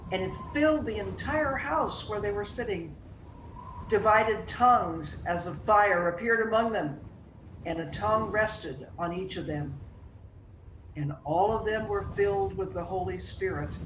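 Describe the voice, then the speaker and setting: Echoey, solemn scripture narrator. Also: medium pitch. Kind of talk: scripture narration in a dramatic reading. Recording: echoey room with background noise.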